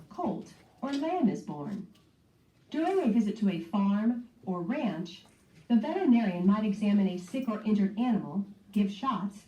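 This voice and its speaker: A woman's voice: mid-range voice, dark tone. A woman narrator carefully reading a scripted educational text for children. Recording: muffled playback in echoey room, distant.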